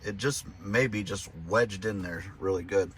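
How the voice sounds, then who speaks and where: A man hosting a casual hands-on DIY vlog, thinking aloud in a halting, self-deprecating, crude way. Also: on the low side. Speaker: host of a DIY truck modification vlog. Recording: clear background noise and ambience.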